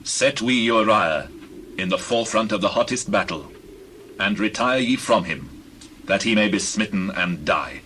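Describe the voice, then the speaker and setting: A man playing a commanding ancient king in a scripture drama, speaking with measured authority and archaic phrasing. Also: fairly low pitch, lively intonation, pushed and bright, slight background noise. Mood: cold, deliberate.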